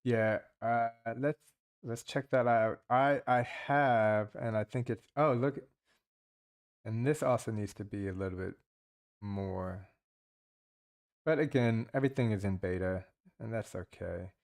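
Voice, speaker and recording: A man's voice: on the low side, unhurried. A man giving casual, rambling commentary while playing mobile multiplayer games, thinking aloud with many restarts. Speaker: gaming channel host.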